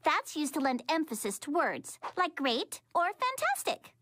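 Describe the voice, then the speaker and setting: Squeaky, earnest little cartoon girl. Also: huge pitch swings, measured pace, fairly bright tone, no echo, clean studio sound, heavily acted. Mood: earnest explaining. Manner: cute, childlike, earnest. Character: sweet, naive little cartoon superhero girl. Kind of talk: cartoon voice acting. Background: music.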